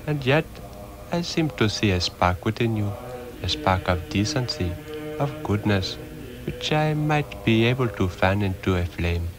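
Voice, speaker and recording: An actor playing an ancient, wise master sorcerer in a 1960s radio drama, speaking in formal, measured, incantatory phrases. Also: male voice, on the low side, animated, rough recording. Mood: solemn, sage. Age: older. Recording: old radio drama, music behind.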